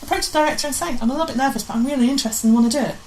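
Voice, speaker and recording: A woman's voice: high-pitched, very fast, a little mellow, a little hiss or hum. A woman guest chatting casually in a radio interview, often adding tag questions. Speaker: guest, amateur pantomime director.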